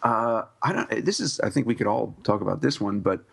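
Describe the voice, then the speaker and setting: Podcast co-host, male, gravelly and husky, casual and hesitant delivery. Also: fairly low pitch, sing-song, a little fast, fairly bright tone. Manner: hedging, thinks aloud with many ums. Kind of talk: casual chat.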